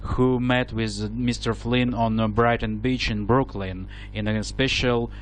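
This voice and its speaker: A man with a Russian accent, speaking earnest, halting, broken English on a prank phone call. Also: mid-range voice, steady tone, a little hiss or hum. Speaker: prank caller.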